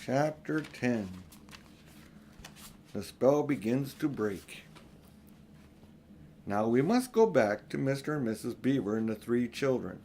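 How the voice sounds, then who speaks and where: Older male storyteller, homely read-aloud for children, medium pitch, informal. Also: expressive, slow pace, pauses often, dark tone, a little hiss or hum. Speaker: storyteller reading an audiobook for his grandchildren.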